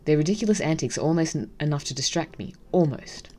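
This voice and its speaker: Low, mellow woman presenting a game. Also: animated, fast talker, soft background music. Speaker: gaming video host. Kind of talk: casual game commentary and read-aloud narration.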